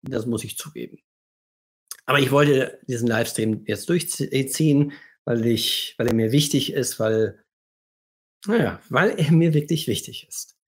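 A man with a husky, scratchy voice that sounds a little under the weather. His pitch sits in the middle of the male range. He explains things like a therapist hosting a livestream, leaning emphatically on key phrases and asking rhetorical questions. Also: measured pace.

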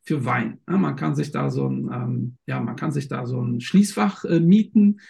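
A man's voice: medium pitch, big pitch swings, moderate pace, vocal fry, darkish tone. A male podcast host who chats, jokes and hesitates a lot while introducing his guest. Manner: chatty, joking, many hesitations. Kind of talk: casual interview talk.

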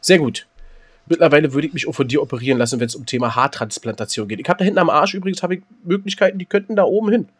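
A man's voice: fairly high pitch, animated, rapid-fire, husky. A man co-hosting a joking podcast, trading dry, teasing banter. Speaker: podcast co-host. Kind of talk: joking casual chat.

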